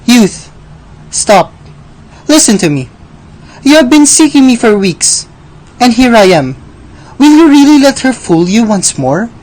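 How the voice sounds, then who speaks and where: A woman playing Death as a suave, mocking gentleman in a theatrical radio drama, imperious and drawn-out. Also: on the high side, big pitch swings, low-quality recording. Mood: commanding.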